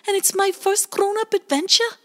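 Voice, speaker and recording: A woman's voice: very high voice, steady tone, normal speed, a bit bright, pitched above the performer's own voice. A woman voicing a timid little male sidekick who sounds anxious, apologetic and close to tears.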